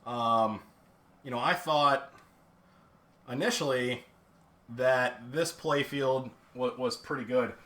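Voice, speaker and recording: A man's voice: mid-range voice, takes it slowly, long pauses, bright, forceful tone. A man in casual, rambling live-stream talk, full of fillers and restarts, showing a pinball playfield up close on camera. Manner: rambling, lots of fillers and restarts, self-deprecating. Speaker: pinball restorer streaming a teardown.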